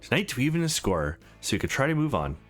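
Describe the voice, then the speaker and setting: A man's voice: mid-range voice, sing-song, fast, faint music behind. A man voicing a flirtatious, conflicted married woman in a visual novel, frank, teasing and confessional. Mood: frank, probing.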